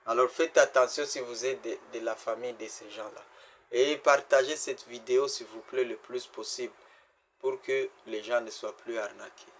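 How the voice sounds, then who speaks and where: Man, mid pitch, casual walkthrough, stop-start delivery, earnest warnings that fade to near-murmurs. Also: fairly even intonation, slight background noise. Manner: halting, repeats words and restarts; earnest pleading, voice drops very soft at the end of pleas. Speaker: vlogger warning about online scams.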